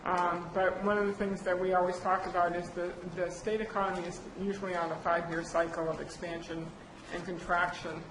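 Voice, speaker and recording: A woman presenting a county budget update at a public board meeting, halting with many fillers and restarts. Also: lowish voice, level tone. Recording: echoey chamber with air conditioner noise.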